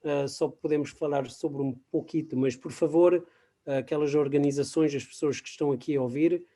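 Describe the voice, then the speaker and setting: Hesitant Portuguese speaker, mellow male voice. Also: on the high side, fairly even intonation. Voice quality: non-native Portuguese with English terms mixed in. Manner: halting, searching for words. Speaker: guest expert. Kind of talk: webinar presentation in conversational form.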